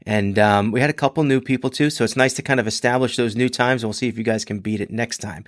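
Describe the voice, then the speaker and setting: A man hosting a podcast in a rambling, chatty solo talk, his voice a little hoarse and congested. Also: medium pitch, fairly even intonation, fast, dry recording, studio quality. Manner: rambling, chatty, many restarts and fillers. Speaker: podcast host. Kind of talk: casual solo podcast monologue.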